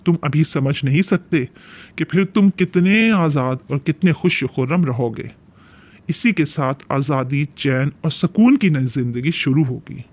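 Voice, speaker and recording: A man's voice: on the high side, expressive, a little slow, darkish tone. A man voicing a big, powerful, sly female eagle ruler in a cartoon fairy tale, with grand, persuasive speech-making. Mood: persuasive, solemn.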